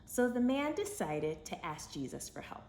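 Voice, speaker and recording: A woman with a lowish voice reads a children's storybook aloud. Her delivery is slow, expressive and dramatic, with lively swings of pitch. It is recorded in an echoey room. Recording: echoey room with faint background noise.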